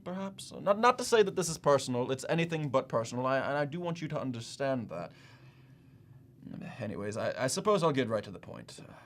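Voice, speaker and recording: An actor playing an awkward, apologetic yet condescending museum official in a comic monologue, with a hesitant, rambling manner. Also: male voice, mid-range voice, fairly bright tone. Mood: nervous, polite. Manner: hesitant, stammering, rambling, self-correcting.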